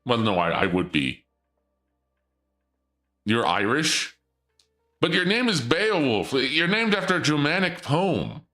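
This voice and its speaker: A young man streaming and chatting casually, rambling and joking in a theatrical dark-lord persona. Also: on the high side, sing-song, slow, pauses often, soft background music.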